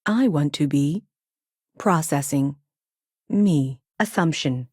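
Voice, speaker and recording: A woman's voice: low-pitched, lively intonation, slow, darkish tone, husky, dry recording, studio quality, heavily acted. A woman playing a self-aware space probe AI in a video game, speaking in a clipped, literal, machine-like way with childlike earnestness. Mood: detached, logical. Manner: clipped, literal, announces each statement with a label word. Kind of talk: scripted video game dialogue.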